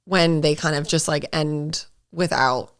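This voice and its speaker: A woman's voice: deep voice, fairly even intonation, measured pace. A young American woman co-hosting a podcast, chatting casually in blunt, emphatic slang. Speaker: podcast co-host. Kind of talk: casual chat.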